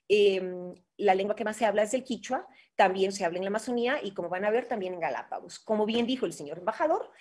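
A middle-aged woman with an Ecuadorian Andean accent, giving an online linguistics lecture in a measured, explanatory way with fillers and tag questions, recorded on a webcam. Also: mid-range voice, a little mellow. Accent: Ecuadorian Andean Spanish. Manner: measured, explanatory, with frequent fillers and rhetorical tags. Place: home office with bookshelves. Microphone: webcam on a video call.

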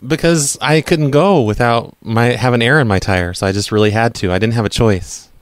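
A man's voice: medium pitch, normal speed, no echo. Stammering, argumentative man, very dry sound. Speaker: prank caller, show host. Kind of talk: prank phone call, casual chat.

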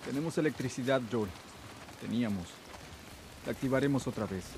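An actor playing a rugged, easygoing middle-aged man in a dubbed video game, relaxed and friendly. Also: mid-range voice, brisk, close to an everyday voice. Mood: calm. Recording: game audio with ambient noise.

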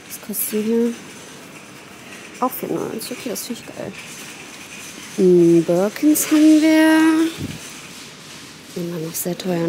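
A young woman vlogging casually and excitedly while browsing plants in a large garden center, sometimes dropping to a whisper. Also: medium pitch, slight background noise, low-quality recording. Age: young adult. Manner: excitable, chatty, often whispering asides. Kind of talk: casual shop-with-me vlog. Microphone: handheld phone camera.

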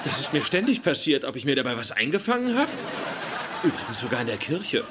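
An actor playing a pedantic, self-important young scientist roommate in a dubbed sitcom, speaking with precise formality. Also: male voice, mid-range voice, big pitch swings, a little fast, very noisy, lightly acted. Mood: complaining. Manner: pompous, precise, formal wording. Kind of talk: German-dubbed sitcom acting.

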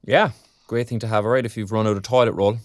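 A man's voice: on the low side, steady tone, fast talker, no room sound, studio quality, natural voice. A male actor playing a preoccupied young graduate in an audio drama, with an Irish accent and a hesitant, self-deprecating manner. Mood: wry. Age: young adult. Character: wry, preoccupied young arts graduate job-hunting. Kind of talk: naturalistic audio drama acting.

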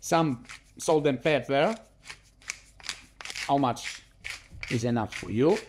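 A man's voice: mid-range voice, takes it slowly, a little hiss or hum. A middle-aged Italian man with an Italian accent, chatting casually in non-native English as he demonstrates a recipe in his home kitchen. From Italy. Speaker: cooking show host.

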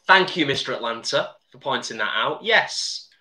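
A man's voice: medium pitch, expressive, slow, pushed and bright. A man chatting casually on a live cooking stream from his home kitchen, laughing often and reading out viewer comments.

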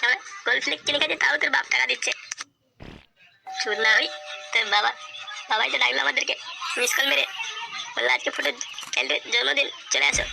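A man's voice: extremely high pitch, fairly even intonation, fast, gravelly, pauses often, music in the background, exaggerated voice, low-quality recording. A man voicing a rowdy, foul-mouthed, bossy young pal in comic cartoon dialogue, full of slangy bluster. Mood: brash, grumbling.

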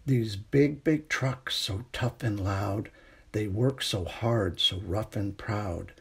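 A middle-aged man reading a children's picture book aloud in a gentle, unhurried bedtime manner, with a husky, creaky voice. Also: on the low side. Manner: gentle, unhurried bedtime delivery with hushed refrains. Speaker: storytime reader.

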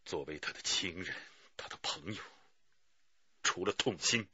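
An actor playing a grave, righteous middle-aged police chief in a radio drama, with a husky voice. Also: male voice, low-pitched, a little slow, bright, forceful tone, no room sound, highs cut off, exaggerated voice. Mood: grave, pained. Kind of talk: Chinese radio drama acting.

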